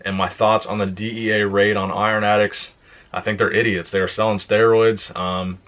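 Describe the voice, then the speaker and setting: Laid-back young man, slightly low voice. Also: moderate pace. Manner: relaxed, plain-spoken, reads questions aloud then answers. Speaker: fitness YouTuber. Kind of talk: casual Q and A vlog.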